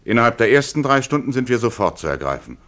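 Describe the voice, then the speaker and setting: An actor playing a polite, businesslike captor in a 1950s German radio drama, speaking insistently and precisely. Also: male voice, medium pitch, big pitch swings, fast, fairly bright tone. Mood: urgent, courteous. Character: coolly courteous male captor, urgent and businesslike.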